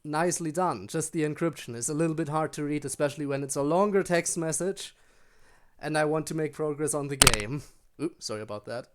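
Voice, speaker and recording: A man's voice: on the high side, brisk. A man streaming a video game, giving casual commentary and muttered asides. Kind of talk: casual live gaming commentary.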